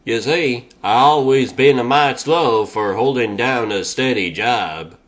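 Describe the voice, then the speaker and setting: A man voicing a slow-witted, folksy turtle superhero in a comic dub, with a rambling American Southern drawl. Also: medium pitch, a bit bright, close to an everyday voice. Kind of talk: comic dub character acting.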